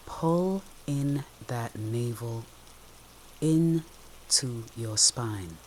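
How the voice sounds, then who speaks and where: A soothing meditation guide with a mid-pitched voice speaks extremely slowly. Short, gentle instructions are separated by long pauses. Soft rain falls steadily behind the voice. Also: animated, slight background noise.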